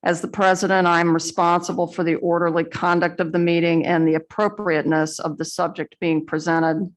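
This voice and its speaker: A woman presiding over a school board meeting, explaining the rules in a measured, procedural way with the odd filler. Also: on the low side, little pitch movement. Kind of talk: chairing a public meeting.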